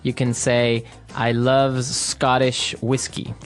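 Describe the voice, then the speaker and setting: A man's voice: medium pitch, little pitch movement, slow. A man from Ecuador co-hosting an English lesson podcast with a mid-range voice. His delivery is upbeat and agreeable, and faint music plays underneath him. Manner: upbeat, affirming, frequent "that's right". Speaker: podcast co-host, English teacher. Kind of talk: scripted teaching dialogue.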